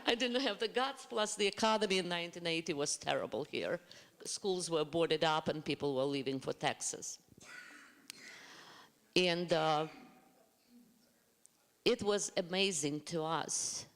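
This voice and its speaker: A panelist with a Russian accent, from the former Soviet Union, earnestly telling a family story to a congregation with many halting restarts. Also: mid-range voice, a bit bright. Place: congregation hall. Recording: long reverb of a hall.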